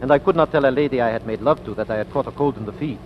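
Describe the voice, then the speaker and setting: A man's voice: medium pitch, very fast, natural voice. Man playing a suave charmer, old radio. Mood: wry, teasing. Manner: wry, frank, stilted foreign phrasing. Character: suave, penniless fortune-hunting foreign charmer. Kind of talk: 1940s radio comedy acting. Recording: dull, noisy old radio recording.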